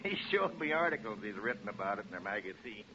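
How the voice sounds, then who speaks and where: A breezy American property salesman in a 1940s radio play. His voice is bright and very lively, with a folksy, reassuring patter. The recording is muffled and old. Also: fairly low pitch, quick pace, slight background noise, heavily acted. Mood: breezy, persuasive. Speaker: real estate agent.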